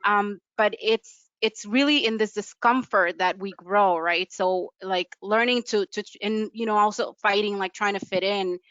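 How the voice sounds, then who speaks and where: A woman with a smooth, clear, resonant voice, talking earnestly and reflectively in a mid-range pitch. The sound is dry and close but dulled by lo-fi video call audio. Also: measured pace, a bit bright, no room sound. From United States. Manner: earnest, reflective, loose with fillers. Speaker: panelist. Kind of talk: personal account on a panel.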